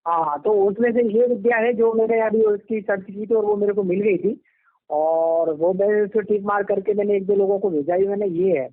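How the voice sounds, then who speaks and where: A man's voice: high-pitched, normal speed. A man from Madhya Pradesh, talking casually on the phone and rambling, often checking that the listener agrees. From Madhya Pradesh, India. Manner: rambling, often seeking agreement with tag questions. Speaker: ashram devotee. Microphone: telephone. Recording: phone call recording, band-limited.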